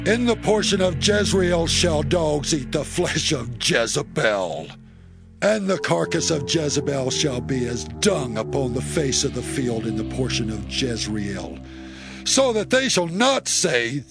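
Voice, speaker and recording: A man's voice: medium pitch, lively intonation, a little slow, vocal fry, over music. An actor playing a fierce, commanding warrior king in a scripture audio drama, with imperious, declamatory delivery. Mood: grim, solemn.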